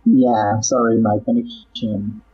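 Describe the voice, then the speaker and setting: Relaxed man on a muffled call. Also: on the low side, slow pace, slightly rough. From El Salvador. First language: Spanish. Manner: relaxed, laughs easily, short answers. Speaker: guest co-host. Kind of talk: casual online chat. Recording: dull distant call audio.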